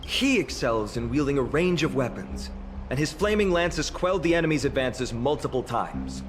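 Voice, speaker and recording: An actor voicing a confident, courteous young warrior in a fantasy game, polite and earnest. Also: male voice, mid-range voice, lively intonation, normal speed, lightly acted. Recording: game music behind the voice.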